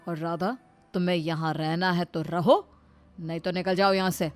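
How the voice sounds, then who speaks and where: A woman's voice: lowish voice, fairly even intonation, normal speed, faint music behind, natural voice. A woman voicing a cold, domineering young husband in a storybook drama, with a curt, commanding manner.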